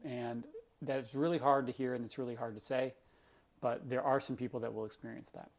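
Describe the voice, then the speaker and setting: A doctor with a scratchy voice hosting a live question-and-answer stream, explaining things in a loose conversational way with lots of everyday examples. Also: male voice, mid-range voice, fairly even intonation, a little fast.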